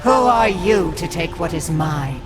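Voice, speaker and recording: A woman's voice: on the low side, lively intonation, a little slow, music in the background. A woman voicing a scheming witch who leads a coven, cold and taunting, from a video game. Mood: defiant.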